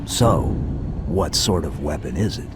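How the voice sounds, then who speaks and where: Very deep, terse male soldier. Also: animated, a little slow, noisy background. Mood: stoic, focused. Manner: terse, stoic. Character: stoic male super-soldier, terse and focused. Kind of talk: dramatic video game acting.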